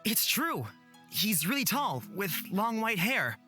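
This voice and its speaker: A woman's voice: medium pitch, big pitch swings, slow pace, pushed and bright, soft background music, full character voice. A woman playing an earnest, impulsive young exile in a fantasy visual novel, speaking with some hesitance. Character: earnest, impulsive exiled young man searching for his father. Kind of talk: visual novel character acting.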